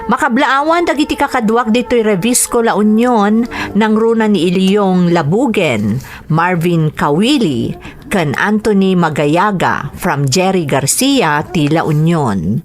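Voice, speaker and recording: A woman with an Ilocano accent hosting a radio show, reading scripted material aloud with drawn-out phrase endings. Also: mid-range voice, animated. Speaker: radio drama host reading greetings and a listener's letter.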